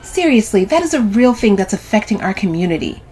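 A woman's voice: mid-range voice, big pitch swings, normal speed, a little mellow, husky, soft background music, voice pushed up. A woman narrator voicing an earnest, defensive, moralizing woman in an audiobook story, insistent and pleading. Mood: earnest, reproachful.

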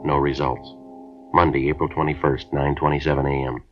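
An actor playing a terse police detective, narrating his case in a clipped, deadpan way on a 1950s radio drama. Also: very deep voice, a little fast, over loud music. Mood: matter-of-fact. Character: terse, matter-of-fact male police sergeant. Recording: dull lo-fi 1950s radio broadcast.

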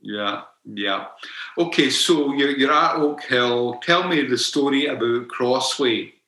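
Scottish man, podcast host, bright tone, teasing chat, echoey room. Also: medium pitch, takes it slowly. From Scotland. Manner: friendly, teasing, chatty. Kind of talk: casual interview chat.

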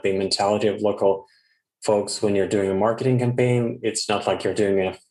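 Low-voiced male podcast host in an echoey room. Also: darkish tone. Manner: halting, with filler words. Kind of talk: podcast interview hosting.

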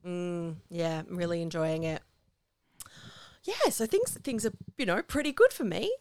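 An Australian woman co-hosting a casual podcast chat, slightly husky after laryngitis, rambling and self-deprecating. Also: on the low side, animated, unhurried, darkish tone, dry recording. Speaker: podcast co-host. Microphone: home recording over video call.

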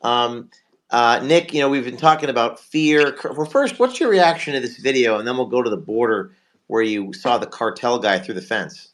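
A male host of a live online talk show, hoarse from a cold, talking in a rapid, rambling way as he promotes sponsors and questions guests. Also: mid-range voice.

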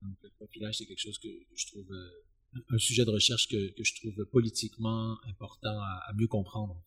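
A man answering as an expert guest in an interview, explaining in a halting, searching way and often repeating words. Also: fairly low pitch, steady tone, a bit bright, breathy edge. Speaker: expert guest, sociology professor.

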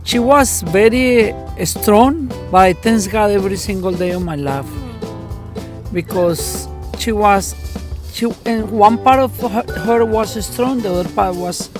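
Halting speaker over guitar music. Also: medium pitch. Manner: halting, restarts often. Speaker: parent in a vox pop clip.

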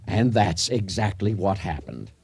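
An actor playing an earnest storyteller, narrating a radio drama in a dramatic, mock-serious manner. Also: male voice, sits low, big pitch swings, unhurried, creaky, a little mellow, slightly rough, exaggerated voice. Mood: mock-solemn.

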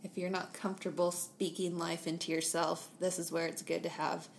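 A woman's voice: fairly low pitch, little pitch movement, moderate pace, gravelly, a little hiss or hum. A woman co-hosting a casual faith-based podcast, speaking in an encouraging way with playful banter. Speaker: podcast co-host.

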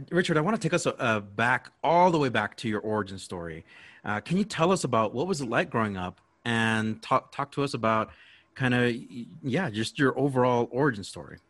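A man's voice: medium pitch, a bit bright. Podcast host: man, mid-range voice, casual interviewer, agreeable and attentive. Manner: agreeable, attentive, frequent affirmations.

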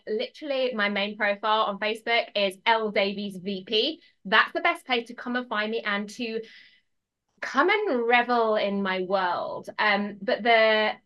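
A woman with a fairly high-pitched voice talks as a mentor guesting on a podcast. She is emphatic and encouraging, coaching in a casual, conversational way. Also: pushed and bright.

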